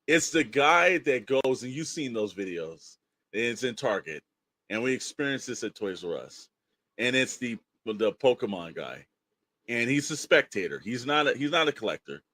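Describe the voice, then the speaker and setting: Male podcast host, mid-pitched, dry recording. Casual, opinionated, rambling storyteller with exasperated emphasis. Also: sing-song, moderate pace, pushed and bright, no room sound.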